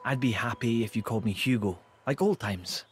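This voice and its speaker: A man's voice: medium pitch, a little fast, breathy edge, close to an everyday voice. An actor playing a formal, courteous, modest nobleman in a game cutscene, with music behind the voice. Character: courtly, modest nobleman, loyal old friend of a prince. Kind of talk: video game cutscene acting.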